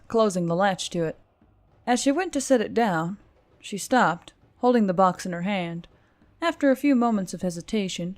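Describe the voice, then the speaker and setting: A woman's voice: mid-range voice, darkish tone. A woman reading a scripted story aloud as an audiobook narrator.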